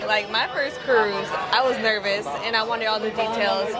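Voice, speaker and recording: A woman's voice: a little high, fairly even intonation, normal speed. A woman chatting casually as a travel companion in a vlog. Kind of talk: casual chat. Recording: loud music, noisy public crowd.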